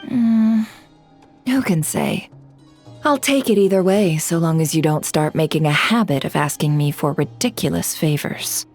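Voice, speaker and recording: An actress voicing a sly, unhurried female information dealer who drawls and teases. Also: deep voice, a little mellow, soft background music. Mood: teasing. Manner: drawling, teasing. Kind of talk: video game dub acting.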